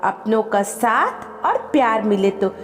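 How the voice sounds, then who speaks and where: A woman reading a scripted, emotional family story as an expressive storyteller. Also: mid-range voice, slow. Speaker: storyteller and channel host. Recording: long reverb with music behind. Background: background music.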